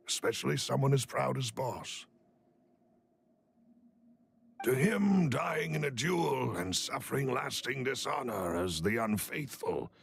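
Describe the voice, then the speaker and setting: A gravelly, formal, devoted butler. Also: male voice, on the low side, a little hiss or hum. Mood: solemn, reverent. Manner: formal, deferential, deliberate. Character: devoted, measured, discreet male butler to a young lady. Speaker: butler character in a game. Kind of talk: dramatic game voice acting.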